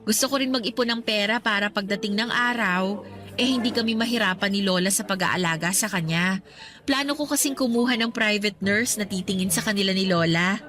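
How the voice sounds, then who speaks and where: Radio romance role: woman playing guarded, devoted young breadwinner, earnest and hesitant, mid pitch, music underneath. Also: a little fast, lightly acted. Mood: devoted, resolute. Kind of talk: radio romance drama acting in Tagalog. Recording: soft music bed underneath.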